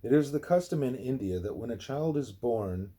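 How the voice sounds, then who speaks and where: Husky, mellow man reading aloud. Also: mid-range voice, expressive, normal speed. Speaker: reader of a spiritual book. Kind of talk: read-aloud scripted narration.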